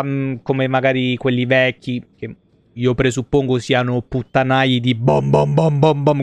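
A man's voice: mid-range voice, clear, resonant tone, dry recording. A man giving casual, foul-mouthed and jokey live game commentary in slangy speech. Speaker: video game streamer.

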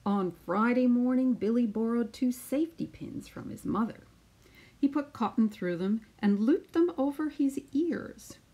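A woman in her fifties, a teacher, speaking to pupils and reading a children's picture book aloud with deliberate, emphatic phrasing. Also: a little high, expressive, dark tone, slightly rough. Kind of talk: children's storybook read-aloud.

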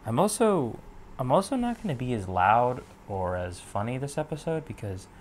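A young man in his twenties, losing his voice, rambling in a relaxed way as host of his own podcast from his bed at home. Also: mid-range voice, moderate pace, a little hiss or hum. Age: 20s. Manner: relaxed, meandering, many fillers. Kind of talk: casual solo podcast monologue.

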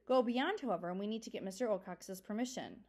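A woman voicing a stout, stern deer mouse father who lectures gravely and self-importantly in a storybook reading. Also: medium pitch, fast talker, a little mellow, close to an everyday voice. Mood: lecturing.

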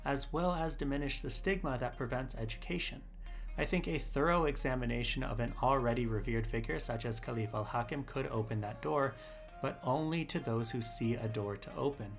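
A man's voice: mid-range voice, brisk, gravelly. Man narrating an essay over music. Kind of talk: scripted essay readalong narration. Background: instrumental music.

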